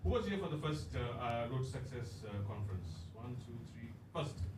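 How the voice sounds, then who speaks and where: A man from Sri Lanka giving a talk to a live audience, playful and energetic, throwing questions at the crowd. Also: medium pitch, brisk. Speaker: guest speaker. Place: event hall with a live crowd. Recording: distant mic in a noisy, echoey hall.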